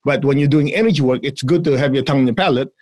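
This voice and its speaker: A man with a Chinese accent teaching energy exercises in a podcast chat, playful and quick to laugh between calm instructions. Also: mid-range voice. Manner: playful, laughs often, breaks into giggles.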